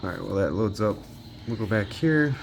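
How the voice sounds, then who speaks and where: A man's voice: lowish voice, lively intonation, moderate pace, gravelly, slight background noise. A man giving casual gameplay commentary as a handheld gaming reviewer, informally thinking aloud as he tests. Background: video game music.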